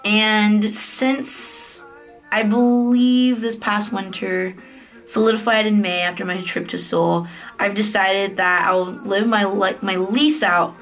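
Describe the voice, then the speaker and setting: A woman's voice: mid-range voice, fairly even intonation, slow pace, a bit bright, faint music behind. A woman in her late twenties from the United States, giving a casual, candid vlog update in a chatty way, with fillers and self-corrections. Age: late 20s. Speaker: vlogger. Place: office private room at night.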